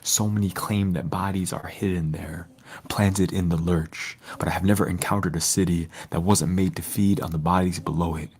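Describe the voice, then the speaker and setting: A man with a low, husky voice reads spoken-word poetry. His delivery is solemn and measured, with a lyrical flow. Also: fairly even intonation, a little mellow. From Detroit, United States. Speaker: poet.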